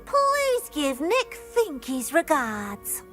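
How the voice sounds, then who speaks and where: An actress playing a kindly, wistful little house elf in a video game, speaking in a gentle, expressive manner. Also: female voice, high-pitched, drawn-out words, soft background music, exaggerated voice. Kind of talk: video game character acting.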